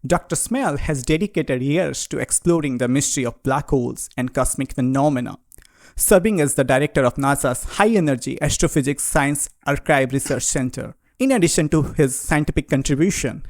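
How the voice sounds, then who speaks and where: Polite, formal podcast host, dry sound. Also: male voice, mid-range voice, fairly quick, no room sound. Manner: formal, polite, addresses guest as sir. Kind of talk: scripted intro then interview questions.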